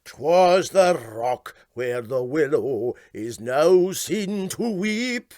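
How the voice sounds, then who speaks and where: A man voicing a vain, sentimental and self-important steamboat skipper with a Scottish Highland accent, for a storybook. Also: sing-song, very slow, a little mellow, no echo, clean studio sound, exaggerated voice. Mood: grandly warbling. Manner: ponderous, self-important, hesitant.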